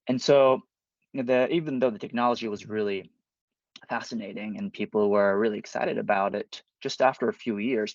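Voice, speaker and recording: A man from Japan with a Japanese accent, introducing himself and explaining his field in a measured way as a company co-founder on a dull online call. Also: lowish voice, vocal fry, dry recording. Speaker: company co-founder, guest speaker. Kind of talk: spoken self-introduction to an online audience.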